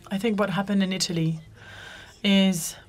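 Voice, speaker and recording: A woman's voice: medium pitch, fairly even intonation, moderate pace, slight background noise. A woman moderating a conference panel, speaking loosely and often correcting herself mid-sentence. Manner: loose, self-correcting, with frequent restarts. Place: conference room.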